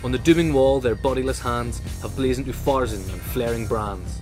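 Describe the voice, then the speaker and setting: A man's voice: medium pitch, normal speed, music in the background. A man reading a scripted political essay as a narrator.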